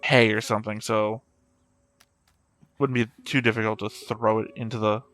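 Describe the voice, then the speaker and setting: A man's voice: lowish voice, flat delivery, normal speed, a bit bright, soft background music. A man hosting an anime reaction channel, talking casually and thinking aloud in a rambling way.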